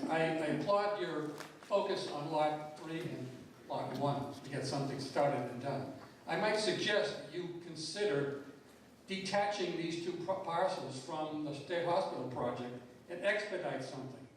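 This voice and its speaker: An older man giving a blunt, frustrated public comment at a town meeting, often repeating words as he speaks. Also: fairly high pitch. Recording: very echoey hall, distant mic, faint noise.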